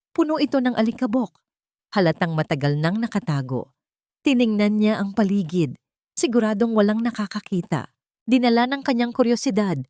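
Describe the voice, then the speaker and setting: A woman's voice: fairly low pitch, a little mellow, no echo, clean studio sound. A woman narrating a scripted dramatic story as a storyteller.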